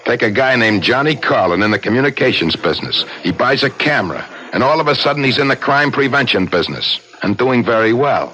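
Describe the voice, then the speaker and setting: Tough, sharp-voiced police inspector. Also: male voice, mid-range voice, big pitch swings, brisk, hardly any pauses. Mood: pushy, suspicious. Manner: blunt, slangy, interrogating. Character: tough, suspicious male police inspector, pushy and streetwise. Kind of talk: 1970s radio drama acting. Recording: noisy old 1970s radio recording.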